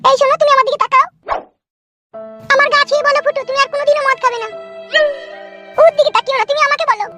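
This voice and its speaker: A man's voice: very high voice, steady tone, fast, music in the background. A man voicing a sweet but bossy young woman in love for a comedy cartoon, coaxing and scolding. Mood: bossy, pleading. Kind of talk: amateur comedy cartoon dub.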